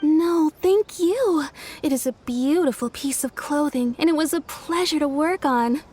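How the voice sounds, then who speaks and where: A woman's voice: sits high, measured pace, darkish tone, slight background noise. A woman voicing a polite, deferential female tailor in a game.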